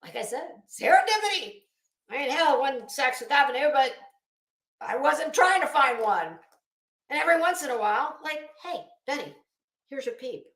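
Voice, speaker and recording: A woman's voice: high-pitched, sing-song, moderate pace, fairly bright tone. A middle-aged woman giving an unscripted, rambling commentary rant in a sardonic, scornful, sweary manner. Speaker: online commentary vlogger. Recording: echoey room.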